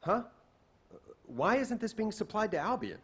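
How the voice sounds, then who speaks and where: Young male game chef: curious, earnest, lively intonation, slightly rough husky tone. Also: high voice, unhurried, heavily acted. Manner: expressive, questioning. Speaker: video game character. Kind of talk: video game voice acting.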